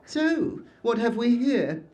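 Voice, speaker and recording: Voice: medium pitch, very animated, takes it slowly, a little mellow. A performer voicing a fussy, pompous wizard who has turned himself into an armoire, stammering when flustered. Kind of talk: audio drama acting.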